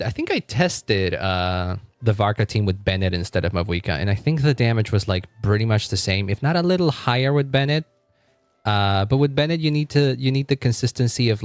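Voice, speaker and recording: A man's voice: fairly low pitch, no echo. A man live streaming in a casual, rambling way, thinking aloud and often chuckling as he chats with viewers. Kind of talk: casual gaming livestream chat.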